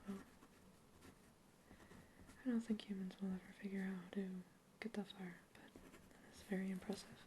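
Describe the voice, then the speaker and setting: A soft-whispering researcher, played by a woman, politely quizzing a captive alien in a sci-fi ASMR roleplay. Her whisper stays even and flat in pitch, curious and reassuring, with faint noise behind it. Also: moderate pace, long pauses, lightly acted. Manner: gentle, curious, reassuring questions.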